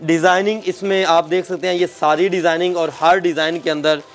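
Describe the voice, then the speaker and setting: A man's voice: a little high, steady tone, brisk, soft background music. A man in his thirties hosting a walk through a fabric warehouse, repeating and summing up the seller's points for viewers on a lapel mic. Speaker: host. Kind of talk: casual shop tour chat. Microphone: clip-on lapel mic.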